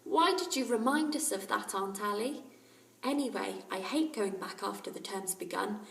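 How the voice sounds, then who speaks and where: A woman voicing a lively, teasing, bossy schoolboy in an emphatic storybook reading. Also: medium pitch, normal speed, gravelly. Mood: grumbling. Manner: emphatic, exclamatory. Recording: roomy echo, distant microphone.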